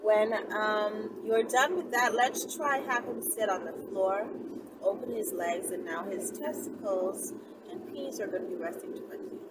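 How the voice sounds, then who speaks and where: A woman's voice: medium pitch, moderate pace. Casting: female vlog host, conversational and playful instructor; mic set back, heavy background noise. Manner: conversational, playful, checks in with tag questions. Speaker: channel host. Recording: very noisy, distant mic.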